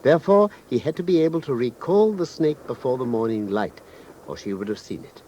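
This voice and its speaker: An old, faintly noisy radio drama recording of a British gentleman detective, played by a male actor. He is shrewd and authoritative, articulating each point precisely. His medium-pitched voice moves through wide, animated swings. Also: a little fast, natural voice. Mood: calm, explanatory. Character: shrewd, commanding gentleman detective, methodical and self-assured.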